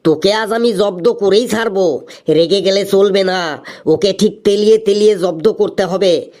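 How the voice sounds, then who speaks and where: A man's voice: high voice, normal speed, a little mellow, natural voice. Man voicing an exasperated, scheming cartoon schoolteacher. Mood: scheming muttering. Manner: scolding, then falsely coaxing. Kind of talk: comedy cartoon voice acting.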